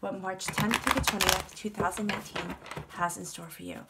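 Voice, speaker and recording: A woman giving a tarot reading to her viewers, her voice congested and stuffy from a cold. She speaks at a middle pitch in a calm, reassuring way, circling back to the same phrases. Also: moderate pace, heavy background noise. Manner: soothing, reassuring, repeats phrases. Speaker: tarot reader. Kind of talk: tarot reading monologue to camera.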